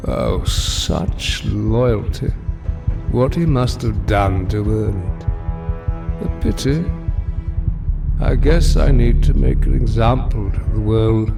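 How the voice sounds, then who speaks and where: An imperious older villain, acted by a man speaks slowly with a gravelly creak. He is haughty and theatrical, set back from the mic with music behind him in an audio drama. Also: fairly low pitch, far from the mic. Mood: scornful. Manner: theatrical, haughty, drawn-out phrasing. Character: menacing older male mutant supervillain, imperious and scornful.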